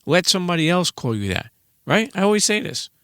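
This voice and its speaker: A man's voice: sits high, big pitch swings, brisk, fairly bright tone, dry recording, studio quality. A man hosting a casual interview podcast, colloquial and talking straight to his listeners. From Brooklyn, New York. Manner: colloquial, addresses listeners directly. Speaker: podcast host.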